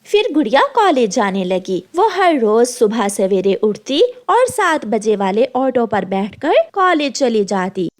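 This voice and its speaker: Children's story narrator: woman, high register, highly animated scripted delivery. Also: measured pace, hardly any pauses.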